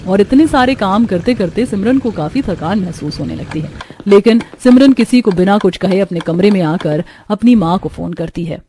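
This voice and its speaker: A woman reading scripted storybook narration for an animated cartoon, telling the action in the present tense. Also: medium pitch, normal speed, slight background noise.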